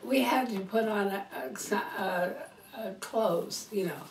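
An older woman recalling college life in a slow, halting way. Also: mid-range voice, creaky. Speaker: alumna interviewee. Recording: distant echoey room.

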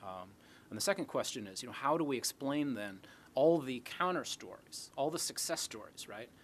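A man's voice: medium pitch, animated, normal speed. Academic man explaining over background noise. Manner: explanatory, rhetorical questions. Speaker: academic speaker answering audience questions. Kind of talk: lecture question-and-answer. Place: lecture hall, at a podium. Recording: noisy lecture hall recording.

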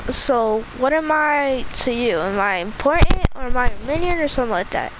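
A woman's voice: high-pitched, expressive, normal speed. A woman playing a young, questioning minion in an improvised video game roleplay, with a plain-spoken manner. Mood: obedient, questioning. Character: young hero turned obedient, questioning evil minion. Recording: very noisy home game recording.

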